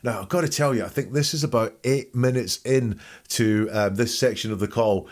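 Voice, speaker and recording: A man's voice: medium pitch, moderate pace. A man giving informal, blunt and opinionated commentary as he hosts an analysis of a recording. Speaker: host analysing a recorded call.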